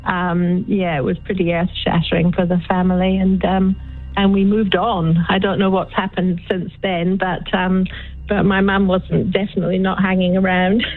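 An older Scottish woman calling in to a radio show, telling a story in a chatty, unhurried way with plenty of ums. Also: on the low side, flat delivery. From Scotland. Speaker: caller. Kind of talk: phone-in storytelling. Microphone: phone. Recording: tinny phone line with faint music.

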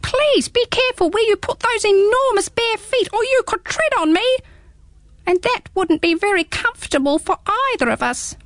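A woman's voice: very high-pitched, expressive, moderate pace, a bit bright, dry recording, studio quality, pitched above the performer's own voice. A woman voicing a small, talkative hedgehog in a storybook reading, prim and rambling. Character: small chatty fussy hedgehog. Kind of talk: storybook read-aloud.

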